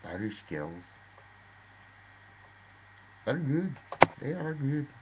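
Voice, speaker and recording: A man's voice: medium pitch, sing-song, drawn-out words. A man telling a rambling, theatrical story, addressing the listener as "lad". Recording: dull lo-fi with faint noise.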